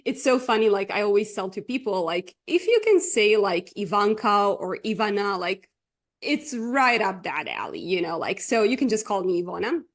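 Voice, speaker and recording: A woman's voice: medium pitch, animated, moderate pace. A woman from Croatia, speaking English, chatting as a guest coach on a podcast with lots of fillers and frequent laughter. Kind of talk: casual interview chat.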